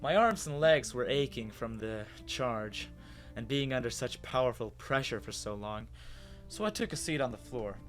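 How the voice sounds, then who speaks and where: A man acts out a bewildered human telling his own story in an animated dramatic reading. His mid-pitched voice is wondering and hesitant. Faint music plays behind him. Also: normal speed, lightly acted. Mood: uneasy, wondering. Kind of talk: first-person dramatic story narration.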